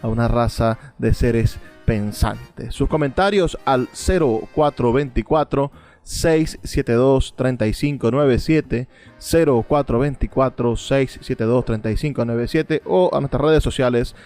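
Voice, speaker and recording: A man's voice: mid-range voice, a little mellow. A man presenting a radio show in a formal, eloquent manner. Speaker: radio host. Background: music.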